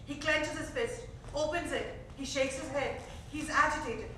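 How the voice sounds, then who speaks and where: A woman's voice: high voice, moderate pace, sharp tone, far from the mic. A woman reading scripted stage directions as the narrator of a staged play. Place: theater stage. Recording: echoey theater recorded from afar, faint noise.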